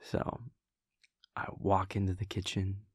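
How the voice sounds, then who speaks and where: A young man playing a laid-back, wry vampire prince boyfriend in an intimate audio roleplay, telling a story in a hushed, halting, amused way. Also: low-pitched, monotone, no echo, clean studio sound. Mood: smug. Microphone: close, dry studio microphone.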